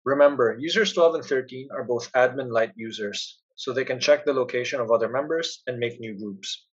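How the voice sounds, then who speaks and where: A man giving a plain, instructional step-by-step narration as a software tutorial presenter. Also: mid-range voice, fairly quick, slightly rough, lo-fi sound.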